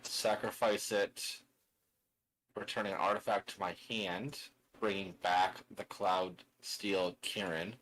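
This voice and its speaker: A man's voice: low-pitched, flat delivery, takes it slowly, a bit bright, poor audio. A man casually narrating his own moves in a tabletop card game, muttering and counting aloud as he thinks. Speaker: card game player and streamer.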